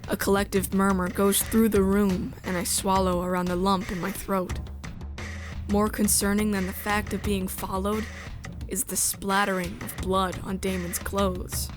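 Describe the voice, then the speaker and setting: A woman's voice: medium pitch, flat delivery, a little slow, over music, close to an everyday voice. A woman playing a sensitive, resolute outsider who narrates a space drama in the first person. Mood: uneasy.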